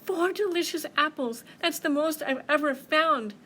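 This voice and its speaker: A woman voicing a small, sweet, timid but clever female mouse in a children's storybook, squeaky and coaxing. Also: big pitch swings, unhurried, a little hiss or hum. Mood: delighted.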